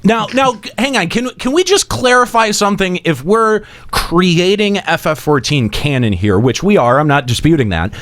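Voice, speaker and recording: A man's voice: high voice, expressive, normal speed, hardly any pauses, fairly bright tone. A man co-hosting a casual chat, talking in a sarcastic, joking way. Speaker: podcast co-host.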